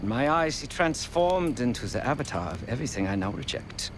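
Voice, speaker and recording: An actor playing a solemn, remorseful former killer turned pacifist in a video game, speaking in formal, measured phrases. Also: male voice, medium pitch, very animated, background noise, lightly acted. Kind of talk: video game cutscene acting.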